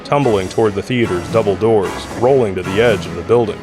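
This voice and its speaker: A man's voice: fairly low pitch, a little fast. A man reading scripted, dramatic narration for an audio drama. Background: music score.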